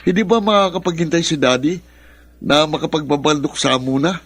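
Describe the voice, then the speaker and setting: A man's voice: a little high, fairly quick, a bit bright, husky. A man playing a bitter, sarcastic young adult son who talks accusingly in a radio drama. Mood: resentful. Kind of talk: Filipino radio drama acting.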